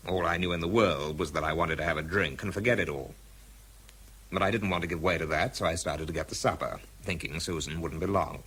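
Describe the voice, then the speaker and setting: A man's voice: sits low, a little fast, fairly bright tone, slightly rough, rough recording. An English actor playing a world-weary, bitter man who struggles with drink, narrating and speaking tersely in a radio drama. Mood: weary, tense. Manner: dry, terse, understated.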